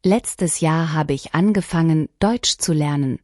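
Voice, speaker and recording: Low-voiced woman reading lesson sentences. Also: no room sound, clean studio sound. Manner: clear, even, deliberate sentence-by-sentence delivery. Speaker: language lesson reader. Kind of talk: scripted shadowing practice reading.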